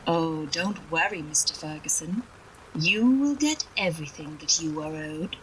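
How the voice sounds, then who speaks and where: A woman's voice: sits low, lively intonation, a little slow, very noisy. An actress playing a mysterious, imperious woman with veiled menace and a formal, theatrical manner in a noir audio drama. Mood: quietly menacing.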